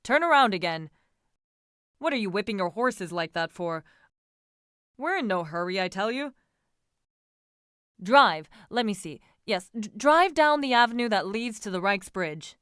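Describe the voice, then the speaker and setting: Woman acting a firm, impatient young gentleman. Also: fairly low pitch, animated, normal speed, clear, resonant tone, dry recording, studio quality, lightly acted. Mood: irritated, commanding. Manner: firm, emphatic. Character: impatient, commanding young gentleman lover, reassuring his companion.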